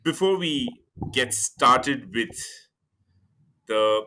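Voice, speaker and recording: A man's voice: medium pitch, animated, very slow, pushed and bright. A man hosting a podcast, reading a guest introduction and then asking loose, rambling questions with fillers. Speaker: podcast host. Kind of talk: interview hosting.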